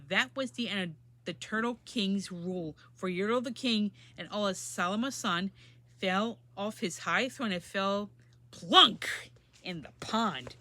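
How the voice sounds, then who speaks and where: A woman's voice: medium pitch, sharp tone. A woman storyteller reading a children's storybook aloud and adding simple asides about the pictures.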